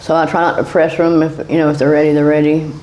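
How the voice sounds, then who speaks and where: A woman in her forties from South Carolina, answering interview questions in a hesitant, halting way. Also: low voice, flat delivery, darkish tone, slight background noise, rough recording. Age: 40s. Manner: hesitant, halting with many fillers. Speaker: guest.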